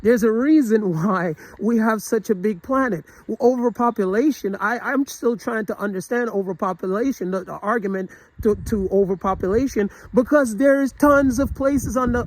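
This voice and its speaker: A man's voice: extremely high pitch, moderate pace, no echo, rough recording. A man giving an opinionated, casual vlog monologue, earnest and rambling, often restarting his sentences with fillers. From United States. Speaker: travel vlogger.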